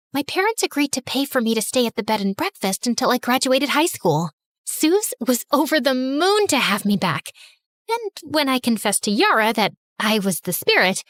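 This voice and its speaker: A woman narrating a scripted animated story in the first person as a teenage girl, expressive and dramatic. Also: fairly high pitch, no echo, studio quality.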